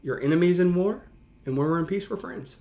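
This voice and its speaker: A man's voice: sits high, expressive, brisk, a little mellow. A man giving casual, enthusiastic reaction commentary as a streamer, speaking in informal slang and often repeating himself. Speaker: teacher and streamer hosting a reaction live stream.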